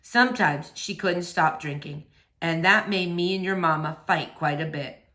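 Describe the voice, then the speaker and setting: Woman voicing a quiet, low-pitched melancholy father. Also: moderate pace, reverberant. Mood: sad, subdued. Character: quiet, melancholy middle-aged father, a preacher. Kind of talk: children's novel read aloud.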